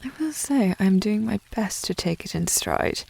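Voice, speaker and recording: Casting: actress as prim, snooty young lady of the manor, British accent, fry-edged voice, coy and prickly, intimate period ASMR roleplay. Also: mid-range voice, animated, moderate pace, a little mellow. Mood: smug, teasing. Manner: prim, snooty, formal phrasing with coy indirectness. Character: haughty, flustered young noble lady of the house, coy. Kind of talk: intimate ASMR historical romance audio roleplay.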